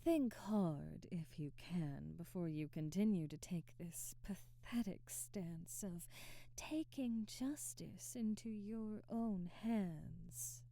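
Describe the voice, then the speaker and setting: Woman playing a dark-voiced, haughty vampire noblewoman. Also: lowish voice, animated, gravelly, no echo, heavily acted. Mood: cold, scornful. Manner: formal, imperious, deliberate diction. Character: haughty aristocratic female vampire, commanding, coldly indignant. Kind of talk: scripted ASMR audio roleplay.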